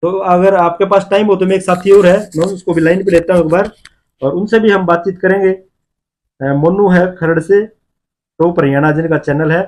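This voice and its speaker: A man's voice: high-pitched, fairly even intonation, normal speed, a little mellow. A man, a video reporter who questions callers on the phone and keeps repeating affirmations. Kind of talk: phone interview.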